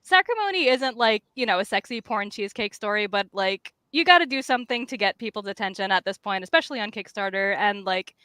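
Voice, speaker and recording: A woman comic artist chatting casually about her work, with an animated, self-deprecating, slangy way of talking. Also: medium pitch, fast, hardly any pauses, smooth, no room sound. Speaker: comic artist and writer, guest.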